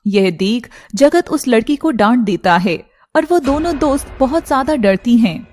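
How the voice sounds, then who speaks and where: A woman narrates a cartoon tale in a high voice. The delivery is scripted storytelling in the third person. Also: animated, unhurried, a little mellow, a little hiss or hum.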